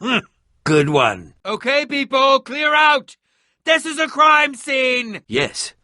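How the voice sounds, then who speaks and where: An actor voicing an eccentric, prickly and curious middle-aged adventurer in a video game, with a pompous, theatrical manner and formal wording. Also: male voice, very high-pitched, sing-song, slow, sharp tone, no room sound, clean studio sound, exaggerated voice. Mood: alarmed, indignant, then curious, wry.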